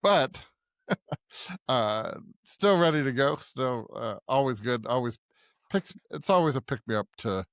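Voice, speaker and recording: American man, mid-range voice, casual pastor co-host, halting conversational delivery, dry recording. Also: medium pitch, expressive, fairly bright tone, no echo. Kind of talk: casual podcast chat.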